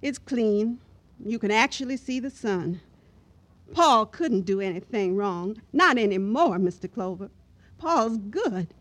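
A woman's voice: medium pitch, expressive, a little slow. An actress playing a worried, dignified middle-aged mother in old radio drama, speaking with polite earnestness. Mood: anxious, earnest. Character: anxious, dignified, devoted mother of a grown son.

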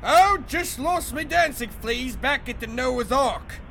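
A man voicing a jolly Cockney mate who exclaims loudly and banters in rhyming slang, with a Cockney accent. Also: extremely high pitch, lively intonation, takes it slowly, vocal fry, slight background noise, exaggerated voice. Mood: hearty.

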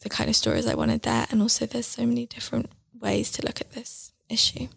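Young woman, earnest, reflective, vocal fry, chatty fillers. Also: on the high side, flat delivery, brisk. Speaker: book editor and guest. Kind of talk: interview conversation.

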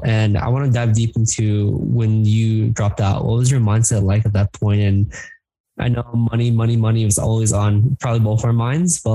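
A man's voice: on the low side, dark tone, no room sound, poor audio. A male podcast co-host asking eager questions, often repeating words as he talks. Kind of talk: casual interview talk.

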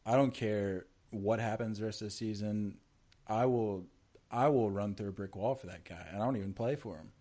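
Teasing low-voiced man. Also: normal speed. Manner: teasing, animated. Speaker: podcast co-host. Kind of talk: casual sports chat.

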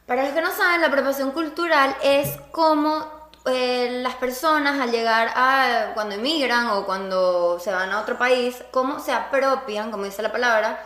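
A woman with a Venezuelan accent hosts a relaxed group conversation, telling anecdotes and acting out what others said. Her voice sits a little high. The recording rings with room echo. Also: moderate pace. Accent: Venezuelan Spanish. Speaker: podcast host. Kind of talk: casual group chat.

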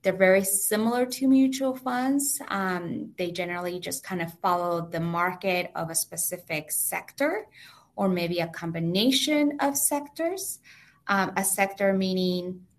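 A woman's voice: mid-range voice, a little slow. A woman with a heavy Mexican Spanish accent, a native Spanish speaker, patiently explaining finances step by step as an interview guest.

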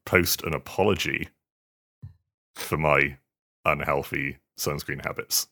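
Very deep, bright-voiced British man, hesitant delivery. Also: steady tone, slow pace, creaky. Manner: hesitant, stammering, self-deprecating. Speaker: podcast co-host, hardware journalist. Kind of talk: casual chat.

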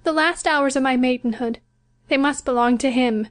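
A gentle young bride with a high, soft voice, patient and resigned, pleading quietly in a storybook reading, as a woman reader puts her on. She sounds a little unlike the reader's own voice. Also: normal speed, natural voice. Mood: soft, wistful. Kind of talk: audiobook character voice.

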